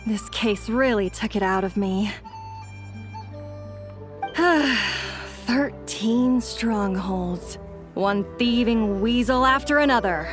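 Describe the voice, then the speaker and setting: Game role: a tired but relieved policewoman, brightish pitch. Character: weary female police officer after a long case. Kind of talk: video game voice acting. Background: game music.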